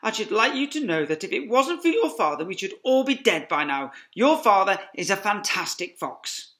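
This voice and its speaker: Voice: medium pitch, very animated, moderate pace, a bit bright, pitched above the performer's own voice. A reader voicing Missus Fox, a loving, anxious female fox who pleads and frets for her children in a storybook reading. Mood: proud. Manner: tearful, pleading.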